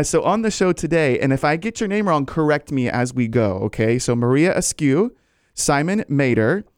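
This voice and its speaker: A man hosting an interview show, chatty and enthusiastic, quick to laugh. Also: fairly high pitch, a little mellow, dry recording. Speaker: radio show host. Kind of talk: upbeat interview chat.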